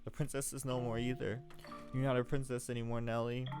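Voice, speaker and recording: A man's voice: medium pitch, flat delivery, brisk, music in the background, lightly acted. A man voicing an anxious fourteen-year-old noble girl who talks in frantic, pleading questions. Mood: bitter.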